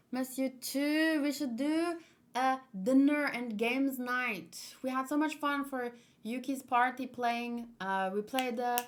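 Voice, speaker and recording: A woman with a fairly high voice talks casually as a streamer. She is playful and expressive, moving between loose chat and reading aloud with her own commentary. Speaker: streamer hosting a manga read-along.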